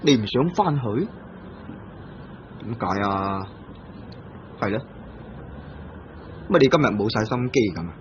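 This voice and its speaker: An actor with a Hong Kong Cantonese accent playing a carefree young unmarried clerk in an old radio drama, teasing and then gently scolding his cousin. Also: fairly low pitch, expressive, measured pace, pauses often. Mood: coaxing. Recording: dull old radio broadcast with faint hiss.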